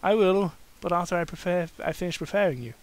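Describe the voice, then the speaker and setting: A man's voice: sits high, fast talker, voice pushed up. A man voicing a big, tall masked man, smug and sarcastic, coaxing his partner in an amateur story reading. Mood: firm, suggestive. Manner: sarcastic, cooing, suggestive.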